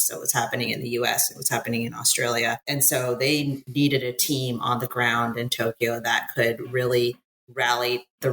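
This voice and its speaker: A woman's voice: rumbling low, fairly bright tone, slight background noise, rough recording. An American woman talking as a podcast guest about her own experiences, talkative, often restarting mid-thought. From United States. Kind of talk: conversational interview, recounting work experiences abroad.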